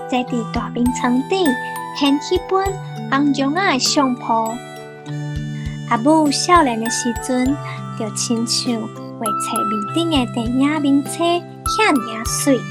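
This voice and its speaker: Taiwanese lady reading a kids picture book, real slow, tinkly music under her. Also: sits high. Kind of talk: scripted storybook narration.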